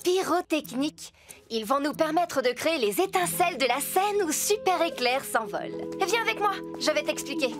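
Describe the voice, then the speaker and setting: A practical woman builder from a children's cartoon. Her voice is very high, with a brisk, patient explaining manner. Music runs behind her. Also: animated. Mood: instructive. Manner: clear, instructive explaining.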